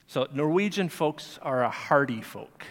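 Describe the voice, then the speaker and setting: A man's voice: fairly high pitch, animated, unhurried. A man teaching Sunday school, giving a sermon in a conversational way with fillers and restarts. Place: church sanctuary. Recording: long hall reverb.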